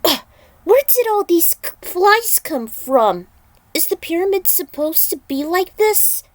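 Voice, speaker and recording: A woman's voice: very high-pitched, big pitch swings, a little slow, dry recording, voice pushed up, heavily acted. A woman voicing a disgusted, complaining adventurer in a fantasy story.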